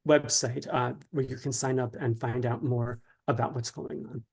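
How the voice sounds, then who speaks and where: A man giving informal, instructional answers as an interview guest, explaining things in a hesitant, measured way. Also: mid-range voice, steady tone, gravelly, husky. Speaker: guest writer being interviewed.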